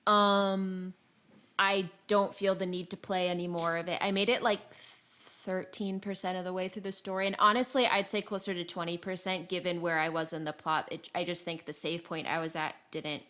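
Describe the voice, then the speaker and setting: A woman's voice: on the low side, steady tone, moderate pace, bright, forceful tone. Casual giggly woman podcaster. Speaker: podcast host. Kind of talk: casual gaming podcast chat.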